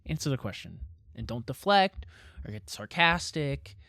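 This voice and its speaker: Patient, earnest male friend, animated intonation, mid-range pitch, casual coaxing delivery, audio drama. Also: moderate pace, gravelly, no room sound, studio quality, full character voice. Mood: firm, coaxing. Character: earnest, patient best friend gently pressing for honesty. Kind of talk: naturalistic audio drama acting.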